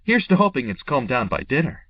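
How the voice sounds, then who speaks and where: A man's voice: medium pitch, sing-song, a little fast, breathy edge, no room sound. A man playing a weary, reluctant businessman in an old-time radio drama. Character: weary, wry travelling businessman, reluctant and uneasy. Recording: muffled, distant, loud music behind.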